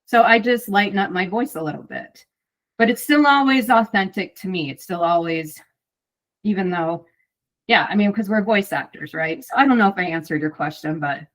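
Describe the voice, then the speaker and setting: A woman's voice: medium pitch, normal speed, fairly bright tone. A middle-aged woman, an audiobook narrator and voice actor, chatting casually in an interview, talkative with many fillers and restarts.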